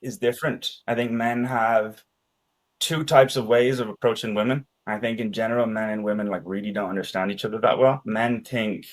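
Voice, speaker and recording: Man, low voice, first language danish, relaxed rambling podcast guest. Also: normal speed. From Ireland and Denmark. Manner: relaxed, rambling, uses filler "like" and "right?". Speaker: podcast guest, sales expert. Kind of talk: casual conversation.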